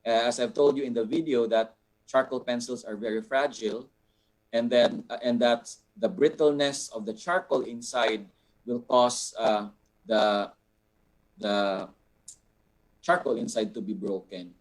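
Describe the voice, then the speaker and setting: A man with a Filipino accent teaching art informally, answering questions in a deliberate, explanatory way and pausing to find his words. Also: mid-range voice, rough recording.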